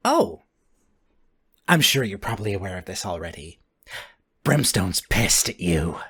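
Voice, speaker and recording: Man playing low-voiced, shrewd animal-eared informant. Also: very animated, measured pace, fairly bright tone, slightly rough, full character voice. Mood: wry, teasing. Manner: thoughtful, hesitant hums, sweary street talk.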